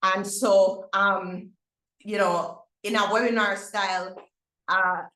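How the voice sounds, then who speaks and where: A woman's voice: medium pitch, fairly even intonation, slow, bright, forceful tone, reverberant, poor audio. A middle-aged Jamaican woman hosting a parents' webinar, upbeat, with many fillers and restarts. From Barbados. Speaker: webinar host. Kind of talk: webinar info session.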